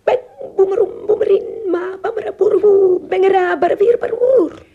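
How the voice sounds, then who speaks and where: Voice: very high voice, moderate pace. An actor voicing a tiny pompous Lilliputian king who babbles nonsense syllables like an orator in a children's audio drama. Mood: speechifying. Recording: thin, tinny, band-limited sound.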